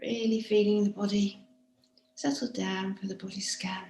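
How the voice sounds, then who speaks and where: A woman guiding a body scan meditation as a teacher, speaking gently and softly, unhurried, with frequent pauses and breathy phrase endings. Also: medium pitch, little pitch movement, a little hiss or hum. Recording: roomy, echoing room sound.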